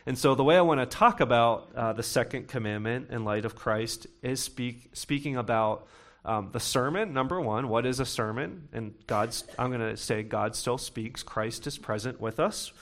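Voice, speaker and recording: A young man in his late twenties or thirties preaching a sermon to his congregation, talking conversationally and slowing down to read scripture. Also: medium pitch, fairly bright tone. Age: young adult. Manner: conversational preaching with bursts of emphasis, reading scripture slowly. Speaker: pastor.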